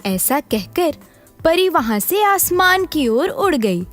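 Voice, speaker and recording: A woman's voice: high-pitched, big pitch swings, slow. A woman narrating a scripted children's story as storyteller, with music behind her.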